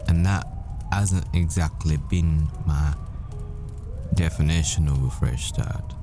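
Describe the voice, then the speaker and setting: A man playing a tender, desperate boyfriend, a secretive professor, in an intimate, halting, wistful audio roleplay monologue. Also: very deep voice, full character voice. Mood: weary, wistful. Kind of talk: intimate ASMR-style dramatic roleplay monologue. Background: music.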